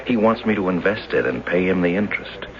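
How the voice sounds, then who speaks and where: An actor with an American accent playing an earnest, stubborn husband in a radio drama, with an insistent, wistful manner. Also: male voice, low voice, animated, quick pace. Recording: muffled, noisy 1970s radio recording.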